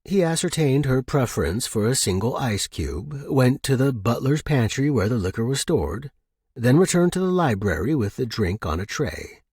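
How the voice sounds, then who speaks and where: Male audiobook narrator, mid-pitched and a little rough-edged, reading scripted fiction. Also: big pitch swings, normal speed, darkish tone, no room sound, studio quality.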